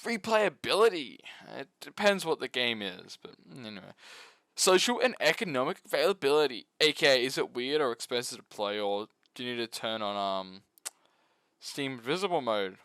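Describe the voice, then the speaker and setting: A man's voice: medium pitch, expressive, moderate pace, a bit bright, clean tone, no echo. A man narrating a comedic scripted review as a video essayist, mock-serious, sarcastic and sweary.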